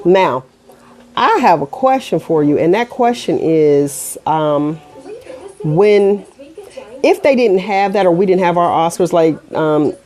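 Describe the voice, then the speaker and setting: A middle-aged woman with an American accent hosts a casual livestream show. Her voice sits slightly low. She talks straight to her viewers in animated, colloquial language, often stepping aside from her point and returning to it. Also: slow pace, faint music behind. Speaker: online radio show host.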